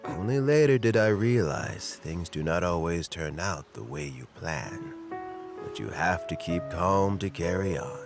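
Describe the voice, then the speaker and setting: A man voicing a patient, kindly elderly mentor in a video game, speaking in an unhurried, philosophical, avuncular way. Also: low voice, animated. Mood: calm, wise. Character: patient, kindly elderly male mentor who loves fishing. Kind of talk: scripted game voice acting. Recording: music clearly behind.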